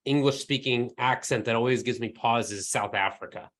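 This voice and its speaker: A man's voice: medium pitch, moderate pace, a bit bright. A man hosting a podcast, asking questions in a friendly, chatty way. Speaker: podcast host. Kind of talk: casual interview chat.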